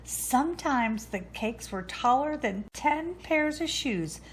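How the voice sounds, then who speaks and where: A woman reading a children's storybook aloud in a deliberate way. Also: high voice, animated, a little hiss or hum. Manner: slow, deliberate narration. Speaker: storybook reader.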